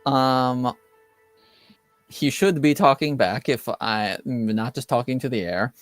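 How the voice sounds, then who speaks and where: Guy doing a calm male mage for a tabletop game, measured, reassuring, slow clear voice. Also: mid-range voice, no echo, faint music behind, close to an everyday voice. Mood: matter-of-fact, wry. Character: calm, assured male spirit-mage explaining his ritual.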